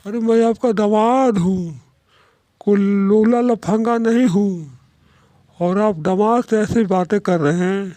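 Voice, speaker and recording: A man's voice: high-pitched, slow pace, dark tone, dry recording. A man voicing an idle, proud young son-in-law in a moral-story cartoon, complaining in firm statements. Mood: indignant.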